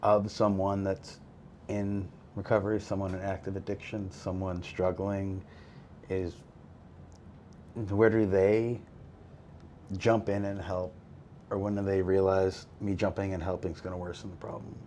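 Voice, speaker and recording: Host, male, deep, easygoing and reflective, slight background hiss. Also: unhurried, long pauses. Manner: relaxed, reflective, many fillers. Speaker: podcast host. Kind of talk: faith-based recovery conversation.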